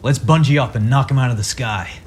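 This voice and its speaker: A man's voice: medium pitch, fairly quick, slight background noise, close to an everyday voice. A man playing a weary but encouraging superhero mentor in a radio play. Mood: rousing. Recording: voice over music and storm effects.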